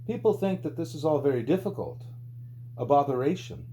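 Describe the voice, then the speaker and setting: A man reading a spiritual book aloud as scripted audiobook narration, with a mellow voice. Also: animated, a little fast. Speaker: audiobook reader. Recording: low hum and background noise.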